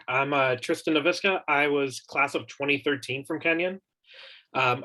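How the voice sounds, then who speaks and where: A man's voice: medium pitch, pushed and bright. A man of about thirty, an office staffer, introducing himself casually on a video call. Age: around 30.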